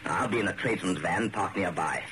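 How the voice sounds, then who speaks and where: An actor playing a curt, commanding and dryly wry Major in a radio thriller. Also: male voice, sits low, brisk, lightly acted. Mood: brisk, conspiratorial. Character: brisk, bossy male Major running covert break-ins. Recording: noisy old radio drama recording.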